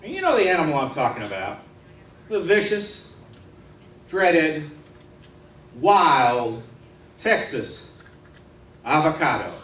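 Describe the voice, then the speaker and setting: A man telling a comic tall tale on stage in a theatrical, mock-serious way with dramatic pauses. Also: on the high side, very animated, a bit bright. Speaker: humorous contest speaker. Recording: roomy hall, distant mic, faint noise.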